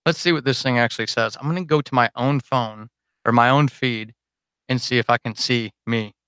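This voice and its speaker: A man's voice: medium pitch, steady tone, moderate pace, a bit bright, clean tone, no echo. A man chatting casually and unscripted to his supporters on a livestream, rambling, informal and self-deprecating as a podcast host.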